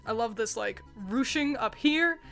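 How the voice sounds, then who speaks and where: Young woman, slightly high voice, excitable casual chat, swears, laughs a lot, soft music underneath. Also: measured pace, vocal fry. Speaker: co-host.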